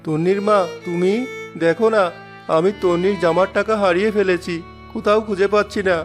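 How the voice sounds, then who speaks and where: A man's voice: high voice, steady tone, brisk, soft background music, natural voice. A man voicing a humble poor father. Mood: distraught. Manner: humble, soft-spoken. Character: poor kind-hearted father, cart pusher.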